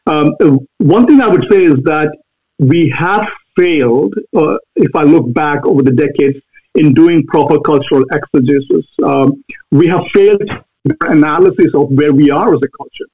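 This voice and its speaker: A man with an Indian accent, a guest answering interview questions earnestly, pausing often on fillers. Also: a little high, breathy edge. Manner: earnest, halting with many fillers. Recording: tinny phone-like line.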